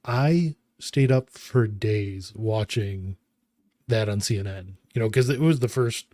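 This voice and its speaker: A man in his thirties co-hosting a casual chat, recalling memories in a halting way and often correcting himself. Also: fairly low pitch, dark tone, dry recording, clean studio sound. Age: 30s. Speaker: podcast co-host.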